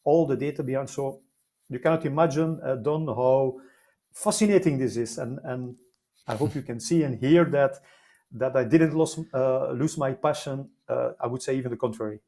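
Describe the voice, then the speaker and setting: A man's voice: medium pitch, normal speed, warm tone. A middle-aged man with a Flemish Belgian accent, a guest telling his career story in an interview with enthusiasm, many fillers and repeated words. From Belgium, Flemish-speaking side. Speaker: guest, life science consultant.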